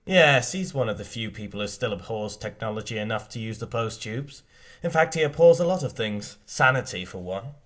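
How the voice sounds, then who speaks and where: A man's voice: on the low side, expressive, brisk, fairly bright tone. A man playing a dry, blunt, mocking mercenary companion in a comic audio drama. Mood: sarcastic. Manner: sarcastic, blunt.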